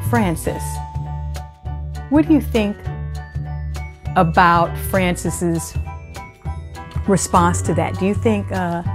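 A middle-aged woman hosting a read-aloud for children, speaking expressively and deliberately into a lapel mic indoors. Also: fairly low pitch. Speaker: children's storytime host.

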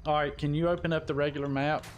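A man with a slightly high voice streams a game in loose, informal talk. He swears freely and throws in folksy exclamations. Faint noise with chirping insects sits behind him. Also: level tone, fast. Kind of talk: casual gaming livestream chat, swearing.

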